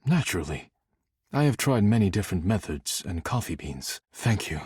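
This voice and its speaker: A man voicing a serious, proud, formal dish character in a dating sim game, speaking in measured, precise and reserved phrases. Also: sits low, big pitch swings, darkish tone, husky, dry recording, full character voice. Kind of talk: scripted character dialogue.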